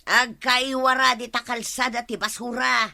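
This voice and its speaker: A woman's voice: on the high side, level tone, normal speed, vocal fry, sharp tone, heavily acted. A woman with an Ilocano accent playing a sassy, skeptical villager in a radio comedy drama, grumbling as she talks. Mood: defensive.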